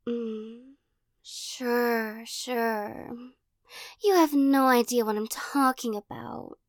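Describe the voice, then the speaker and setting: A young woman voicing a possessive, teasing girl who corners the listener in an audio roleplay, giggling and coaxing, often dropping into soft whispers. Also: on the high side, steady tone, extremely slow, dry recording, clean studio sound.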